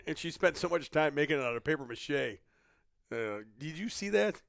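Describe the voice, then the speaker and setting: A man's voice: on the high side, animated, moderate pace, vocal fry, a bit bright, no room sound. A middle-aged man co-hosting a casual podcast, joking and teasing, with frequent laughter, in a dry close-mic sound. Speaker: podcast co-host.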